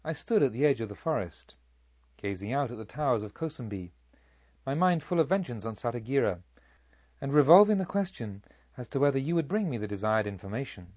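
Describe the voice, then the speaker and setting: A man playing a proud, vengeful robber chief who tells his own tale in measured, formal storytelling. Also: medium pitch, sing-song, darkish tone, no echo, highs cut off. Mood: brooding. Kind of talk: audiobook story narration in character.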